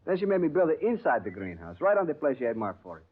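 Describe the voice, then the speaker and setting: An excitable gossipy gardener. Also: male voice, on the high side, fast talker, slightly rough. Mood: indignant. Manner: broken English, animated, gossipy. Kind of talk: 1950s radio drama acting. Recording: tinny old radio broadcast.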